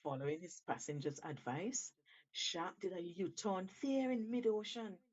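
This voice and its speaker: A woman telling an animal folktale on the radio, with theatrical, deliberate phrasing and an expressive delivery. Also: fairly low pitch, muffled recording. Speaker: radio storyteller.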